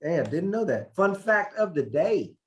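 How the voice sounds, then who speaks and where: A male podcast co-host speaks with a fairly high voice. He talks loosely and with energy, cussing freely and poking fun at himself, in a casual chat. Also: measured pace, dull audio. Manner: animated, swearing, self-mocking.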